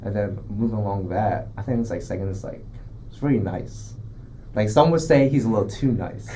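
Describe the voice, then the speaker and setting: Rambling young man, dark mellow voice. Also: on the low side, fairly quick, slight background noise. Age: young adult. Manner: rambling, filler-heavy. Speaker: podcast guest. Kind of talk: casual chat.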